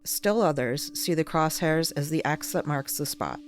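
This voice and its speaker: A narrator reading a scripted documentary piece in a measured, explanatory way. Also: medium pitch, expressive. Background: ambient chill-out music.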